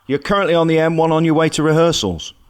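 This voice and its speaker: A man's voice: fairly high pitch, fairly even intonation, fast, smooth. Male radio interview host.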